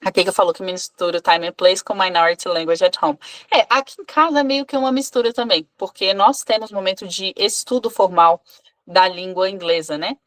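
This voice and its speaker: A woman's voice: mid-range voice, fairly even intonation, a little fast. A woman in her thirties from Brazil, an English teacher, talking casually on a live video call with many fillers and restarts. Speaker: English teacher and club co-host.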